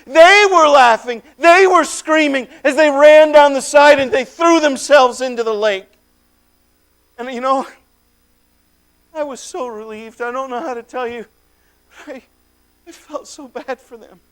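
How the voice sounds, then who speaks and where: A man performing a first-person dramatic monologue as a tormented man freed from possession, swinging between hushed and shouted lines. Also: very high-pitched, unhurried, pauses often, voice pushed up. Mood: tender, fervent.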